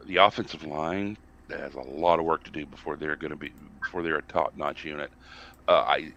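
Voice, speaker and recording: A man's voice: low voice, gravelly, bright, forceful tone, dull audio. A man with a Southern accent, co-hosting a casual sports podcast and ranting bluntly about his team. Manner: blunt, ranting, self-interrupting. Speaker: podcast co-host and football analyst.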